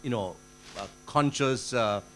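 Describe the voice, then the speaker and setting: A man's voice: mid-range voice, a little slow, fairly bright tone, a little hiss or hum. A man in the audience of a lecture hall asking the speaker questions, hesitant and full of fillers. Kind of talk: question from the floor.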